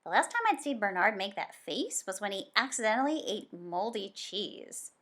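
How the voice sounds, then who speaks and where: A woman's voice: medium pitch, animated, normal speed, a bit bright. A woman reading a children's book aloud expressively as a storyteller.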